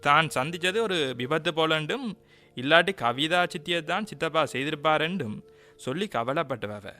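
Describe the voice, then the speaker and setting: An actor with a Sri Lankan Tamil accent plays an earnest young nephew in a radio drama. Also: male voice, fairly high pitch, expressive, moderate pace, pushed and bright.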